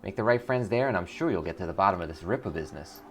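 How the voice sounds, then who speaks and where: A man's voice: low-pitched, lively intonation, rapid-fire. Man playing a streetwise, cocky fugitive runner. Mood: friendly, brisk. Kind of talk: video game character voice. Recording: clear background noise.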